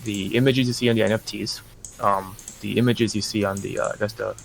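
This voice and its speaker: A man representing a project, talking through his business plans in a casual interview, measured and full of fillers and restarts. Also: on the low side, fairly even intonation, creaky, slight background noise.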